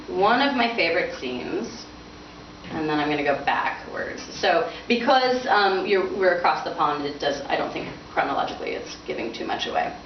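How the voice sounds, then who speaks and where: A woman's voice: lowish voice, highs cut off. A woman author speaking at a bookstore reading, in the style of an informal book event. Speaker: author reading from own novel. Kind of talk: informal book talk and dramatic prose reading. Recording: roomy, distant, noisy bandlimited event recording.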